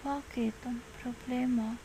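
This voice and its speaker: A woman with a Filipino accent playing a clingy, coaxing girlfriend in an intimate ASMR roleplay, pleading in soft baby talk. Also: on the high side, fairly even intonation, measured pace, a little hiss or hum, rough recording. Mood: puzzled, pleading. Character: clingy, coaxing girlfriend soothing a jealous partner.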